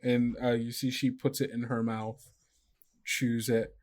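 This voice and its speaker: A man acting as game master, narrating an improvised tabletop game in a halting, conversational way. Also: medium pitch, little pitch movement, darkish tone.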